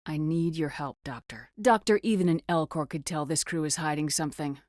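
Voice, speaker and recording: Casting: woman to play hardened female military commander for a sci-fi game. Lowish voice, firm and clipped, dry close studio sound. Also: fairly quick, darkish tone, dry recording, close to an everyday voice. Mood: probing, controlled. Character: tough, commanding female space marine commander, adult, no-nonsense. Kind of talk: scripted video game dialogue acting.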